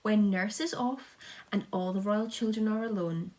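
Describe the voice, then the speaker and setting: A woman's voice: mid-range voice, normal speed, dark tone, lightly acted. A woman voicing an eager, polite young boy who proudly presents friends in sing-song storybook rhyme. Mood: earnest, proud. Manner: sing-song rhyming delivery, showman-like.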